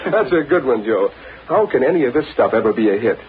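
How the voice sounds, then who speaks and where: Cynical con man, male actor, animated and dismissive, vintage crime serial with tinny, hissy sound. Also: mid-range voice, brisk, natural voice. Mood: scornful amusement. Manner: breezy, dismissive. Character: cynical, brazen song-publishing swindler. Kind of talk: old-time radio crime drama acting. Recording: tinny, hissy old radio recording.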